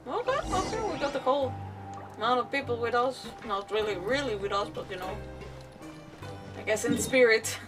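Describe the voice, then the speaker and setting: A woman with a fairly high, very animated voice, set back from the mic with game music clearly behind her. She gives casual livestream commentary, playful and muttering to herself as she interrupts her own thoughts. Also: normal speed, far from the mic.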